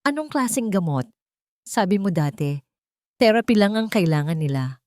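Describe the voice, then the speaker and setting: Audiobook lead, read by a woman: proud Filipino male executive, demanding and suspicious, animated pitch, dry recording. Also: moderate pace, no echo, lightly acted. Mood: alarmed suspicion. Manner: terse, demanding. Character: proud male CEO, troubled, suspicious father.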